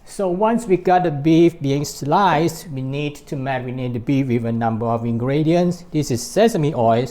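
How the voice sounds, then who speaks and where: A man's voice: fairly high pitch, mellow. A man with a Malaysian accent hosting a cooking demonstration, explaining things step by step. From born in Hong Kong, grew up in Malaysia. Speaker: cooking show host. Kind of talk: recipe demonstration to camera.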